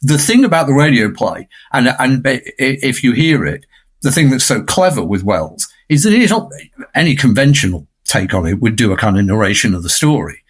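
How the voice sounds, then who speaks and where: A man's voice: mid-range voice, very animated, brisk, husky. Guy on a podcast, medium voice, talking through film and media stuff, emphatic and wordy. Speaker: podcast guest commentator. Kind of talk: discursive film and media discussion.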